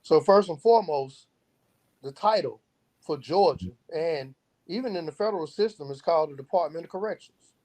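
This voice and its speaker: A man's voice: a little high, expressive, moderate pace, a little mellow. A man speaking as an interview guest, explaining earnestly and posing rhetorical questions that he then answers himself. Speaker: founder of a youth outreach organization, podcast guest.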